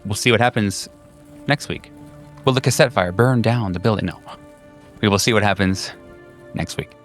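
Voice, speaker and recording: A man working as game master, improvising horror narration in a halting way with drawn-out pauses over music. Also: on the low side.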